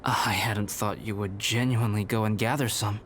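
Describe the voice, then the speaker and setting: A man voicing a calm, enigmatic young male alchemist in a video game cutscene, measured and philosophical. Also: fairly low pitch, heavy background noise, natural voice. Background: game music.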